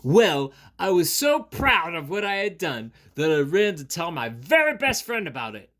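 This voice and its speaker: A man voicing a worried big elephant from a children's picture book, slow, loud and emphatic. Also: fairly high pitch, big pitch swings, pitched above the performer's own voice. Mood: storytelling. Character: worried big elephant, storybook best friend.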